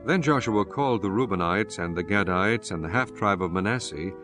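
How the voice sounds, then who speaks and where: A man narrating scripture for an audiobook in a measured, solemn way. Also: fairly low pitch, over music.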